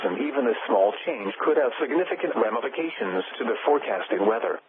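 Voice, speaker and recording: Man reading a weather bulletin over tinny radio. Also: medium pitch. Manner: flat, even reading of forecast text.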